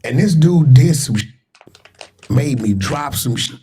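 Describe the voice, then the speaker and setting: A man in a played interview clip talks loud and boastful in streetwise slang. His voice is dark and mellow with a husky, rough grain. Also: mid-range voice, animated, measured pace. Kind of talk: casual interview talk.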